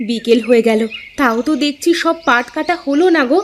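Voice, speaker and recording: A woman playing a practical, gently nagging farmer's wife in a village folk-tale cartoon dub. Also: high voice, a little fast, a little hiss or hum, lightly acted. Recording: music behind the voice.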